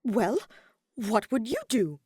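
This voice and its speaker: Woman playing a proud, indignant young nobleman, animated. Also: a little high, takes it slowly, husky, no room sound, studio quality, voice pushed up, exaggerated voice. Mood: scandalised. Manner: indignant, formal, emphatic. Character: proud, easily offended, earnest young nobleman student. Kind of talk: audiobook character acting.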